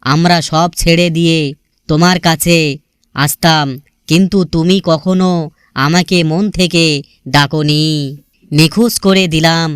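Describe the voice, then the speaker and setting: A man's voice: fairly high pitch, fairly even intonation, unhurried, pauses often, clean tone, no room sound, studio quality. A man reciting sentimental scripted lines about love in an earnest, melancholy, poetic manner.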